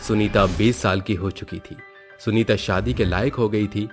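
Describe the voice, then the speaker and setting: A man's voice: lowish voice, fairly even intonation, moderate pace. A man reading a scripted moral story as its narrator. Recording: background music under the voice. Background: music.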